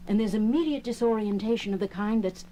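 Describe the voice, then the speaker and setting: A woman's voice: mid-range voice, fast, warm tone, slight background noise, lightly acted, low-quality recording. Grave, clinical female doctor played by a woman. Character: grave, precise female space base doctor. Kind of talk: dramatic science fiction audio drama acting.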